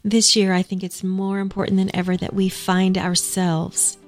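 A woman's voice: mid-range voice, completely flat delivery, normal speed, soft background music. Female radio co-host, reflective and sincere, mid-range voice. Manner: warm, heartfelt and reflective.

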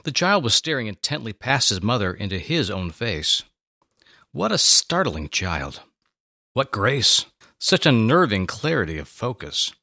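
A man reading scripted dramatic narration with a theatrical, expressive delivery. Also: on the low side, measured pace, no echo, studio quality.

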